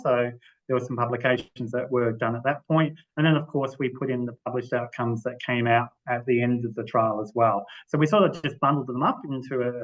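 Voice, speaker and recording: A man giving an informal explanatory presentation as a library manager, speaking haltingly and often rephrasing as he goes, over a video call. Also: mid-range voice. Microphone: video-call microphone. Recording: muffled video-call audio.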